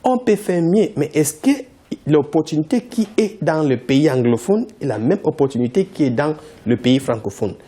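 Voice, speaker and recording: Insistent man, lively pitch. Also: moderate pace, husky. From Cameroon. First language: English. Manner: insistent, repeats his points. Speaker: company founder, interview guest. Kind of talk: TV interview answers.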